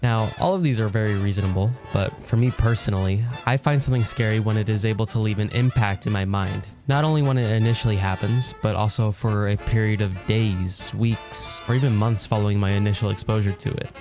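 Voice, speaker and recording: A man's voice: on the low side, a little fast, hardly any pauses, music in the background. A man narrating a scripted video essay in an informal, wry way with casual asides and mild swearing. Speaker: video essayist, channel host.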